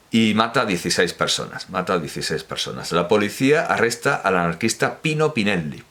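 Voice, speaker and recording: Deep-voiced man rambling about art. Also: fairly bright tone. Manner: rambling asides with frequent fillers and self-corrections. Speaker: host. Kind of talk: casual lecture on art history.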